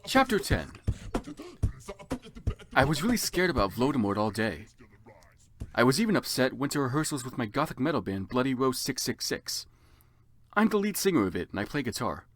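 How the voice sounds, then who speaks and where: A man's voice: medium pitch, sing-song, normal speed, long pauses. A man narrating a story theatrically for comedy, with sardonic asides as commentator. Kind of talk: dramatic comic reading with dry asides.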